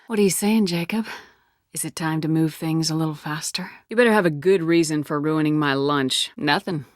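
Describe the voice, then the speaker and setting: A woman's voice: low-pitched, moderate pace, no room sound, clean studio sound, close to an everyday voice. A woman voicing a tough, commanding female space soldier in a video game, with a firm, blunt, controlled delivery. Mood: probing, wry.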